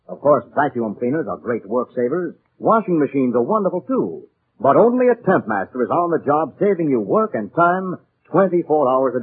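A man announcing in 1940s radio style, selling with a very lively, swinging delivery. His voice has a husky edge. The sound is band-limited and muffled like an old broadcast. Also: medium pitch. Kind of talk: 1940s radio advertising pitch.

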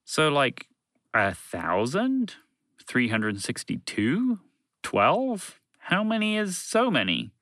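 A bewildered talking dog from a storybook, acted by a man. He questions everything with incredulous persistence, his bright voice lifting high and moving in animated swings. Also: moderate pace, few pauses, no echo, studio quality.

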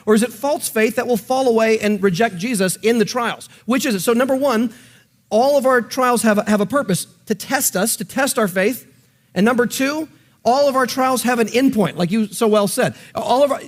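A man teaching the Bible conversationally and emphatically, repeating phrases to drive his points home and reading passages aloud. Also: sits high. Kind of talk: conversational Bible teaching with read-out scripture and quotations.

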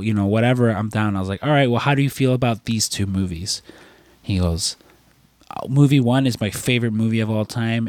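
A young man with a fairly low voice, congested from a lingering cold. He rambles through casual podcast chat with constant fillers and casual swearing. The sound is dry and close to the mic. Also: a little mellow, no room sound. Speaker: podcast co-host.

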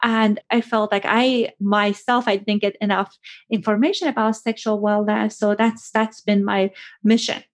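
A woman's voice: mid-range voice, level tone, moderate pace. A woman from Iran with an Iranian accent, a sex therapist speaking as an interview guest in an earnest, explanatory way. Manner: earnest, explanatory, with frequent fillers like "kind of". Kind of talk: conversational interview.

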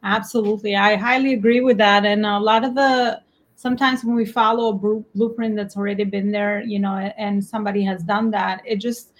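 A woman's voice: medium pitch, fairly even intonation, brisk. A woman with an Albanian accent hosting an interview show over a video call, upbeat and enthusiastic. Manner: enthusiastic, repeats "amazing". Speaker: podcast host. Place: home office in New York.

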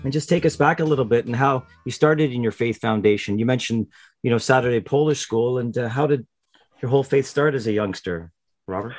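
Fast-talking male interview host. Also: mid-range voice, darkish tone, no echo, faint music behind. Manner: quick, chatty, jumps in with short replies. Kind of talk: casual interview talk.

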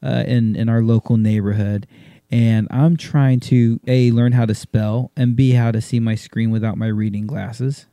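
Southern American man, casual joking co-host, mellow voice, dry recording. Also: mid-range voice, steady tone, moderate pace, no echo. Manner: loose, swearing, joking banter. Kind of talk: casual chat.

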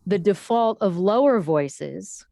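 A woman explaining how breath shapes the voice in an interview, demonstrating with sudden high and low pitches. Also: expressive, takes it slowly, creaky, warm tone, dry recording, clean studio sound. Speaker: voice coach.

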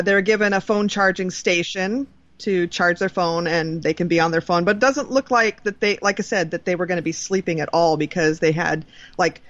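A woman's voice: lowish voice, a bit bright, no echo. Woman co-host, slightly dull dry recording. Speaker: podcast co-host. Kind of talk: casual banter, reading entertainment news.

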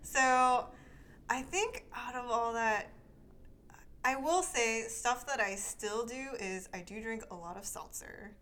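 Slow-paced, laid-back young woman. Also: a little high, fairly bright tone. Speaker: co-host. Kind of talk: casual chat.

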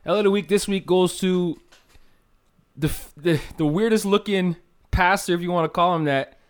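A man's voice: high-pitched, moderate pace, clear, resonant tone. A man co-hosting a casual podcast, playful and emphatic in banter. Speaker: podcast co-host.

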